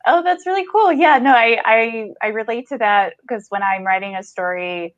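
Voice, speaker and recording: A woman's voice: on the high side, normal speed, dry recording, lo-fi sound. A woman hosting a podcast, interviewing an author in a reflective, affirming, conversational way. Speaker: podcast host.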